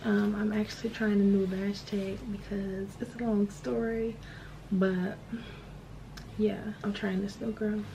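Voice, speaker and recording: A woman's voice: mid-range voice, flat delivery, measured pace, vocal fry. A young adult woman chatting casually to the camera as a vlogger, in informal, slangy everyday talk. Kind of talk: casual vlog chat to camera. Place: small indoor rooms and inside a car. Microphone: handheld or tripod camera at close range. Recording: noisy, with vehicle and street ambience.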